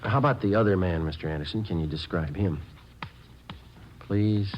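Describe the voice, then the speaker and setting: A man's voice: sits low, moderate pace, natural voice. An American man playing a terse, businesslike police detective sergeant in a radio drama, speaking in a clipped, matter-of-fact way. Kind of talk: 1940s-50s radio drama acting and case narration. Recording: dull old radio recording, music behind.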